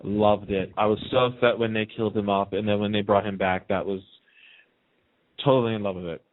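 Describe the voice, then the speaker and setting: Low-voiced man on a phone line. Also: level tone, fairly quick, dry recording. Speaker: radio show guest. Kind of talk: casual chat. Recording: muffled narrowband telephone line.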